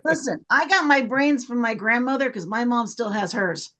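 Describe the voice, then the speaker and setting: A woman's voice: a little high, moderate pace, a bit bright. A woman telling a casual anecdote in a chatty way, acting out bits of dialogue as she goes. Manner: chatty, reenacts dialogue when retelling.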